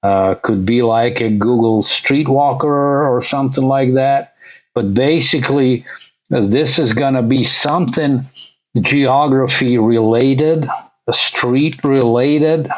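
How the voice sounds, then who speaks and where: A man's voice: medium pitch, takes it slowly, low-quality recording. A middle-aged man from Latvia, for whom English is a third language, casually talking as a channel host and leaning heavily on "uh" and "you know.". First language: not English; English is his third language. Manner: rambling, many "uh" and "you know" fillers. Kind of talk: casual vlog narration over a shared screen.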